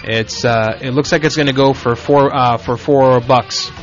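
A man with a Puerto Rican accent hosts a casual internet radio show, rambling on and joking, often restarting his sentences. His voice sits in an ordinary middle pitch. The stream sounds dull and lo-fi, with faint music underneath. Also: steady tone, measured pace. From Puerto Rico. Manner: rambling, self-correcting, joking. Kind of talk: casual talk radio chat.

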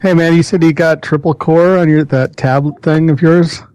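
A man joining a prank call, taunting in a deadpan way. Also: on the high side, moderate pace, clear, resonant tone, dry recording.

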